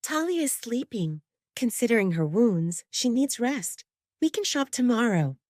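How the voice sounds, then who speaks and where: A woman's voice: fairly high pitch, big pitch swings, measured pace, a little mellow, no echo, clean studio sound, voice pushed up. A woman voicing a protective young pack woman in an audio drama, reasoning with restrained irritation.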